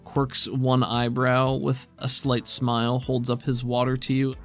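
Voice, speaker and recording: A man runs a tabletop roleplaying game as its game master. He sets out scenes in measured, descriptive narration. His voice sits at a middle pitch, with music playing under it. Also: completely flat delivery.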